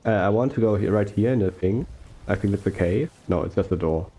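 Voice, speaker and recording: Young male gaming commentator, mellow tone, slow soft-spoken rambling delivery. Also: on the low side. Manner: rambling with fillers and self-corrections. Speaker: gaming YouTuber. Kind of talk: relaxed gameplay commentary.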